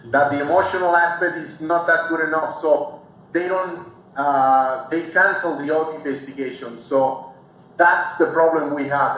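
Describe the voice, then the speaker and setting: Halting Mexican man in heavy echo. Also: a little high, a bit bright. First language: Spanish. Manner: halting, searching for English words. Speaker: guest speaker, forensic researcher. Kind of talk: informal public lecture. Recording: very echoey, faint noise, remote video call.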